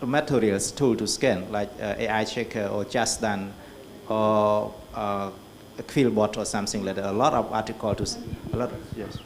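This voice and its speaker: Halting man in echoey hall. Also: lowish voice, steady tone, slight background noise. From Cambodia. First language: Khmer. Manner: halting, repeats words, apologetic asides. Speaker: conference participant, scholar. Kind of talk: conference discussion. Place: conference hall.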